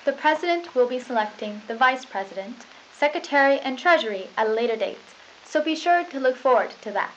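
A woman's voice: sits high, animated, a little fast. Young woman anchoring, dull tape hiss. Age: young adult. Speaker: student news anchor. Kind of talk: scripted school news broadcast. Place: school studio desk. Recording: lo-fi hissy old tape.

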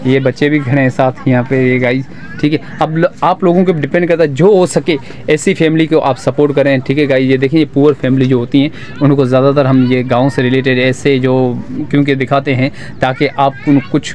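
A man's voice: mid-range voice, a little mellow, slight background noise. Young male vlogger, phone camera, village walkabout, keeps repeating himself. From Pakistan. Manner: repetitive, pleading, keeps calling out "guys" and "friends". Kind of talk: walking tour vlog with interviews. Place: outdoors in a village among huts. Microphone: handheld phone camera.

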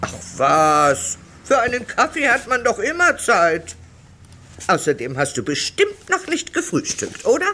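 A woman's voice: on the high side, wildly swooping pitch, a little slow, pushed and bright, full character voice. An actress playing a doting, cheery older mother who fusses and coaxes in an audio drama. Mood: fussing, coaxing.

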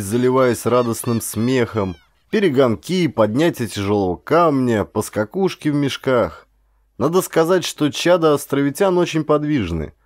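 A man reading scripted adventure narration in an expressive, dramatized storytelling manner. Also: medium pitch, no echo, clean studio sound. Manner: expressive, acts out dialogue. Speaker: audiobook narrator, actor.

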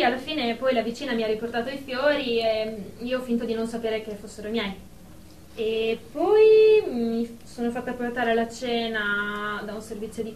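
A woman's voice: a little high, darkish tone, natural voice. An actress playing a haughty, self-important career woman in a radio drama therapy session. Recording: echoey room, distant mic, faint noise.

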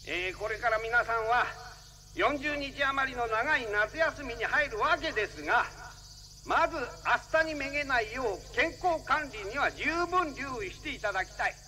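A man's voice: very high voice, lively intonation, moderate pace, pushed and bright, slight background noise, exaggerated voice, poor audio. An actor playing a male school principal formally addressing pupils in a radio drama. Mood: formal, measured.

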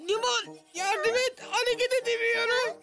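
An actor voicing an excitable, whiny but loyal young boy in an animated children's cartoon, dramatic and exclamatory. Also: extremely high pitch, normal speed. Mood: urgent. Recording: loud music behind.

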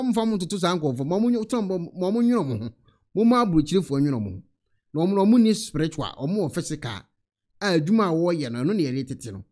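A young man with a Ghanaian accent preaching a sermon on a church stage, firing off rhetorical questions and repeating phrases into a handheld mic. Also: a little high. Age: young adult. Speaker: pastor.

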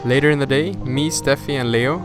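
A young man narrating his sailing trip and walking a forest trail with a camera at arm's length, relaxed and easygoing. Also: medium pitch, brisk, over music. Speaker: sailing vlogger. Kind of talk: casual travel narration. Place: outdoors on a tropical island, forest trail. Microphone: handheld action camera at arm's length.

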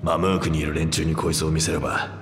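An actor playing a proud, anguished reptilian warrior with rough, boastful speech in a video game. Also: male voice, very deep voice, level tone, quick pace. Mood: subdued, resolute. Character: proud two-headed reptilian warrior man, anguished and remorseful. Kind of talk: dramatic video game voice acting. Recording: echoey long reverb under music.

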